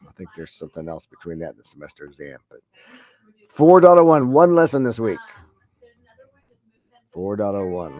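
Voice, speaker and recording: A man's voice: lowish voice, a little mellow. Congested middle-aged male math teacher. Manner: rambling, many fillers and restarts. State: head cold, deep congested voice. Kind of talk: online class lecture.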